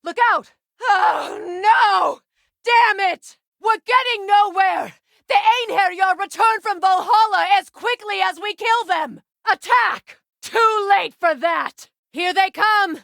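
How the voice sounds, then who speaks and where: A woman voicing a proud, measured Norse warrior goddess in a dramatic video game, with formal, deliberate and dry wording. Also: very high-pitched, creaky, sharp tone, no echo, exaggerated voice. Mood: urgent battle shouting.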